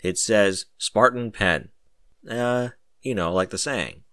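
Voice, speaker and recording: Guy doing cool army captain in an audio story, matter of fact, sly, lowish bright voice. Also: takes it slowly, dry recording, studio quality.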